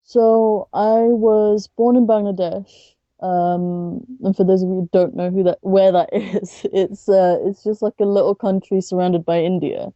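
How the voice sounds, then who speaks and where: A woman from the UK with a British accent, talking casually and haltingly as a podcast guest, peppering her sentences with "like". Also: medium pitch, flat delivery, no room sound, dull audio. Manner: halting, frequent "like". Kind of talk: casual interview storytelling.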